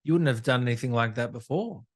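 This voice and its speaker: Male podcast interviewer, mid-range voice, relaxed informal phrasing, casual chat style. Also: mid-range voice, fast.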